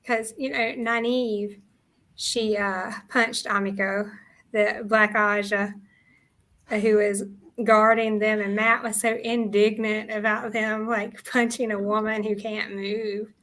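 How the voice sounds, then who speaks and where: A woman's voice: mid-range voice, little pitch movement, takes it slowly, a bit bright. A woman in a casual book discussion, retelling scenes and quoting the characters' dialogue conversationally. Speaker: co-host of a book readalong discussion.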